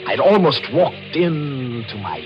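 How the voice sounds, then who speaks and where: An actor playing a cunning, gloating murderer narrating his crime with intense, dramatic pauses in a 1940s radio thriller. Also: medium pitch, sing-song. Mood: tense, scheming. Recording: muffled 1940s radio recording with organ music. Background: dramatic music.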